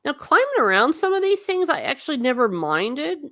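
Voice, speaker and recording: A woman's voice: high-pitched, sing-song, brisk, pushed and bright, no room sound, studio quality. A middle-aged woman chatting on a stream about travel photos, rambling and self-deprecating. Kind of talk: casual stream commentary.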